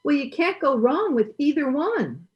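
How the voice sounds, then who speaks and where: An actress playing a sassy, good-humored housekeeper with folksy wisecracks in an old-time radio comedy, slightly muffled. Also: female voice, high voice, sing-song, measured pace, slight background noise. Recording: slightly muffled online-call sound.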